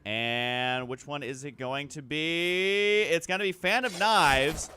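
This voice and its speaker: A man's voice: on the high side, takes it slowly, pushed and bright, a little hiss or hum. A man giving casual, self-deprecating commentary over gameplay as a video host, prone to joking exaggeration. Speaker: host of a gaming video channel.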